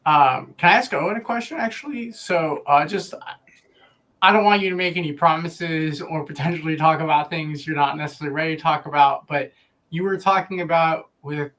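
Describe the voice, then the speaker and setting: A man's voice: fairly high pitch, brisk, a bit bright. A man co-hosting a casual panel chat, asking questions carefully and rephrasing as he goes.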